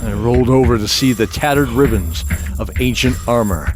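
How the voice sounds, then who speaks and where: An actor playing a dutiful, earnest knight narrating battle in a dramatic fantasy audio drama. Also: male voice, mid-range voice, normal speed, music in the background, close to an everyday voice. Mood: grave, dramatic.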